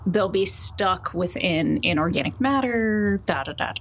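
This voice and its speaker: A woman's voice: fairly low pitch, expressive, unhurried. A woman playing a tabletop roleplaying game, improvising in a loose, jokey, conversational way. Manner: loose, jokey, prone to chuckling. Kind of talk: casual in-character improvised chat. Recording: faint background noise.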